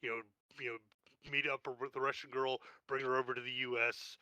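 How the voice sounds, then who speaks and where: A man's voice: mid-range voice, flat delivery, moderate pace, sharp tone, dry recording, highs cut off. A man playing a tabletop game, chatting casually and telling anecdotes.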